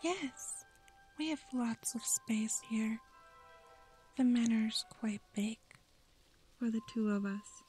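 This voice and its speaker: A woman playing an eerie, sweetly sinister young manor dweller in a soft breathy whisper for horror ASMR roleplay. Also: fairly high pitch, slow pace, pauses often, soft background music, low-quality recording. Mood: eerily welcoming.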